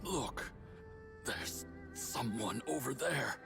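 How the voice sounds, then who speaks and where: A weary video game warrior at death's door, with a low, gravelly voice. His delivery swings widely in pitch and falters as he searches his memory, resolute and anguished. Loud music plays underneath. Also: expressive, exaggerated voice. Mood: stirred, overcome. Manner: halting, faltering, dramatic. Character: dying warrior spirit man, amnesiac, weary, anguished, resolute. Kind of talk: video game cutscene acting.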